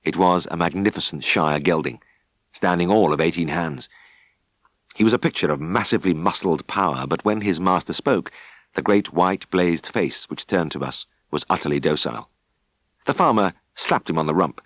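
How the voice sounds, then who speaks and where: A British man narrating a first-person story for an audiobook with expressive, scripted delivery. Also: low voice, normal speed, no room sound.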